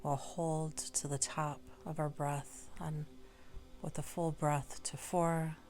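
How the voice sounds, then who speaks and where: A woman leading a guided meditation in a gentle, unhurried way, leaving long pauses between short phrases. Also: sits low, flat delivery, soft background music. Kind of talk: slow guided meditation narration.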